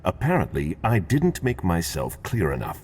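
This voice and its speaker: An actor playing a stern, protective and menacing vampire enforcer in a video game, speaking in a grave, commanding, gravelly way. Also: male voice, low voice, huge pitch swings, moderate pace, a little mellow, faint music behind, heavily acted. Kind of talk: dramatic video game acting.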